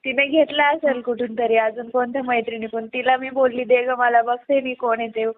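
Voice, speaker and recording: A woman's voice: a little high, fairly even intonation, a little fast. A woman on a phone call, mildly reproachful and a little evasive as she makes her complaint. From Badlapur, Mumbai area, India. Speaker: caller. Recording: narrow tinny phone-line sound.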